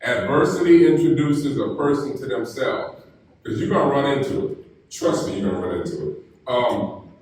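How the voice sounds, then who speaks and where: A man giving a graduation keynote, telling stories in a slow, deliberate way. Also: mid-range voice, a little mellow, a little hiss or hum. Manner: storytelling, slow deliberate delivery. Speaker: keynote speaker. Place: university ceremony hall. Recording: echoey hall, mic heard from a distance.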